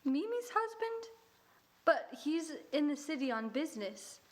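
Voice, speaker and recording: Woman voicing an anxious assistant, high voice, earnest and worried, old-time radio drama. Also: sing-song, a little slow.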